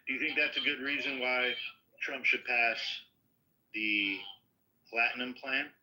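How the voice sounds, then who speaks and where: Give me a calm man leading an online voice chat. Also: mid-range voice, a little slow, rough recording. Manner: calm, steers the talk with questions.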